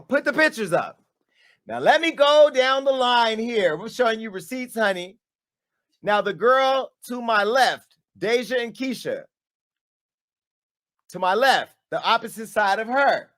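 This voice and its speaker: A man's voice: extremely high pitch, big pitch swings, a little slow. Sassy man calling someone out. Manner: sassy, dramatic, shifting between hushed and loud. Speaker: media company owner and host. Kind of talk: on-camera callout monologue.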